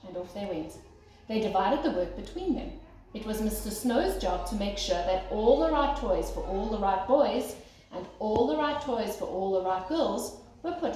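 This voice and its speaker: A woman's voice: mid-range voice, dark tone. A woman teacher reading a children's storybook aloud expressively, as if to young listeners. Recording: very echoey room, faint noise.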